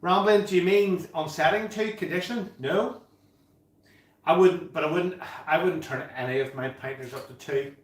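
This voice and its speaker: A man's voice: a little high, moderate pace. Guy in his 50s chatting on a homebrew livestream, reading comments out, sounds far off in an echoey room. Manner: chatty, reads out viewer comments, laughs easily. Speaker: livestream host. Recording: echoey room, distant from mic.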